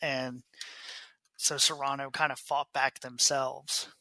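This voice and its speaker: A man's voice: mid-range voice, level tone, slow, pushed and bright, no echo, poor audio. A man co-hosting a podcast, chatting casually with a wry, joking manner. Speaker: podcast co-host. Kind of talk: casual book club discussion.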